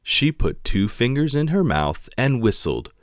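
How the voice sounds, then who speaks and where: A man reading a children's picture book aloud in an expressive narrating style. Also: mid-range voice, unhurried, no room sound, studio quality. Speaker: storybook reader.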